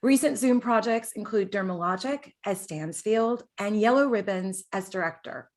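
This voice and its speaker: A woman from New York City speaks at a medium pitch as co-host of an arts show. She moves between chatty remarks and prepared, read-out introductions. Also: normal speed. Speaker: co-host, actor and director.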